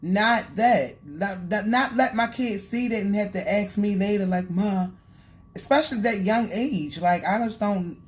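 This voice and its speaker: A woman's voice: mid-range voice, unhurried. A woman in a casual conversation, giving her view in a plain-spoken, conversational way. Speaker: vlogger.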